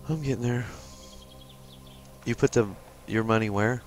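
A man's voice: mid-range voice, steady tone, unhurried, soft background music. A man co-hosting a gameplay video in casual chat, directing his partner in a matter-of-fact way. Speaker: gaming video co-host and player.

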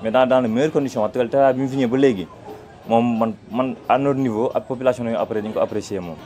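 A young man working with the bus service, explaining in a relaxed way in a street interview inside a bus, speaking into a handheld mic. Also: medium pitch, fast, soft background music. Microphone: handheld reporter mic.

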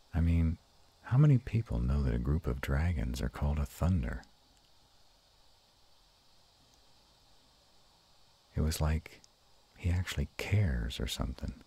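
A man playing a gruff but tender were-dragon lover in an intimate romantic audio roleplay, murmuring slowly and haltingly in a gravelly, husky voice. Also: rumbling low, dark tone, exaggerated voice. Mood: moved, hesitant.

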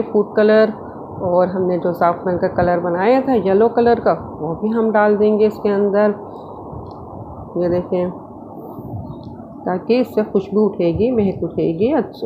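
A woman presenting a home cooking recipe, explaining each step as she does it and often repeating herself. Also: mid-range voice. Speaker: home cook hosting a recipe video. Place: indoor home kitchen. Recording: dull lo-fi phone-like home recording, clear background noise.

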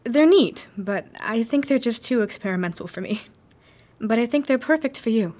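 A woman's voice: fairly high pitch, lively intonation, moderate pace, darkish tone, close to an everyday voice. A young woman playing a sweet, self-doubting 1960s female student in an audio drama. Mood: grateful. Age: young adult. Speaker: student actor.

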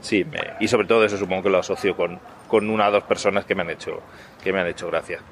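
A man's voice: low voice, fast talker, a little hiss or hum. A man, chatting relaxedly in a street interview. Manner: relaxed, laughs a little, restarts. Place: city sidewalk outdoors. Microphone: handheld interviewer mic.

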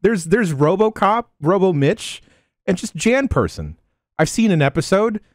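Animated man with swooping pitch, chatting. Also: measured pace, no echo, clean studio sound. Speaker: podcast panelist. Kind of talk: casual chat. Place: indoor room, close mic. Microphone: microphone on boom arm, headset.

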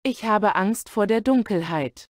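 A woman speaks unhurried practice sentences, first word by word, then whole. Her voice sits in the middle of the range, and the recording is dry and close with no room around it. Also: medium pitch, animated, dry recording. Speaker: German language model speaker. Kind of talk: slow repeated phrase reading.

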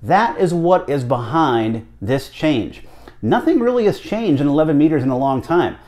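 American guy, 30s, bit higher pitched, chatty hobby vlog host explaining stuff with emphasis and rhetorical questions. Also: animated, normal speed, a little mellow. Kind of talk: explanatory commentary to camera. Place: small home office, indoors.

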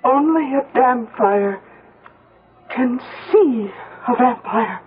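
A woman's voice: fairly high pitch, animated, slow, a little hiss or hum. An actress playing a fearful, superstitious woman giving grave warnings in a radio drama. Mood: ominous. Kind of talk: 1970s radio drama acting. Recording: muffled, tinny old radio broadcast.

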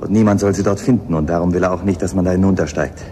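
An actor playing a probing, methodical police inspector in a German radio play, speaking deliberately. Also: male voice, low voice, fairly even intonation, dark tone, lightly acted. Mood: grave, certain. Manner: questioning, deliberate, hesitant openers. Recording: clear background ambience noise.

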